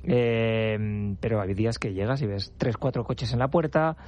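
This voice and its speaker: A man's voice: lowish voice, a little slow, darkish tone. A man from La Rioja with a northern Spanish accent, talking as an interviewed novelist and explaining things at length in a conversational way. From La Rioja, Spain. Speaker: interviewed novelist who works in a hospital.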